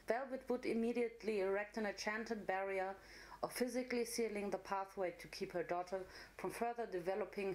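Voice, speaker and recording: A woman's voice: mid-range voice, low-quality recording. Woman narrating a dramatic fantasy story.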